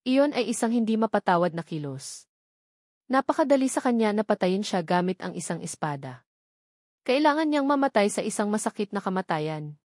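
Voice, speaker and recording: A woman narrates a novel in scripted audiobook style, with a medium-pitched voice. The recording is very dry, with no room around the voice. She reads as a storyteller, working from a written text. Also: very animated, moderate pace, darkish tone, no room sound.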